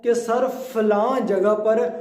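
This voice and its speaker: Pakistani guy teaching law, slow and deliberate, super echoey room. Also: very high voice, fairly even intonation, a little mellow. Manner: deliberate, repeats key phrases in other words. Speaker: law lecturer. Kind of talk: explanatory law lecture, reading out clauses and explaining them. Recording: very echoey, reverberant room sound.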